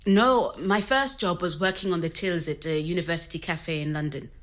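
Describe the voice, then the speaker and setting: A woman's voice: lowish voice, normal speed, natural voice. An actress playing a frank, creative immigrant woman, telling her story in a natural, conversational way. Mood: candid. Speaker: actor in a scripted audio story.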